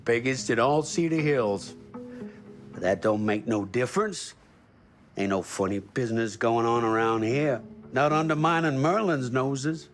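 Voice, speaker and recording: A man's voice: medium pitch, faint music behind, natural voice. An actor playing a folksy, defensive veteran night watchman in a video game, with an American accent and a rambling manner. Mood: assured. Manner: folksy, rambling, evasive drawn-out speech. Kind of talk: cinematic game dialogue.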